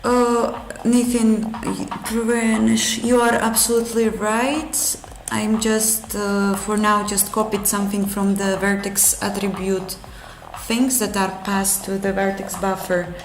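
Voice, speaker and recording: A woman from Russia with a Russian accent, a game engine developer who thinks aloud on a live coding stream and answers chat in halting, self-correcting English. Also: mid-range voice, little pitch movement, a little hiss or hum. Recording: roomy with music playing behind.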